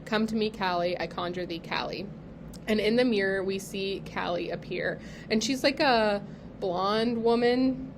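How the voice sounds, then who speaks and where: A woman's voice: medium pitch, measured pace, noisy background. A woman co-hosting a podcast, recapping a TV episode in casual chat with lots of fillers, still getting over being sick. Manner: chatty, many fillers like "like" and "um". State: recovering from illness. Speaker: podcast co-host.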